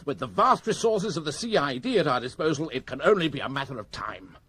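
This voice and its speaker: An actor playing a keen, emphatic senior detective in a radio crime drama. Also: male voice, a little high, expressive, fairly bright tone, breathy edge. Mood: triumphant, determined. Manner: emphatic, deliberate. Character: keen, self-critical male senior police detective. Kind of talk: BBC radio drama acting.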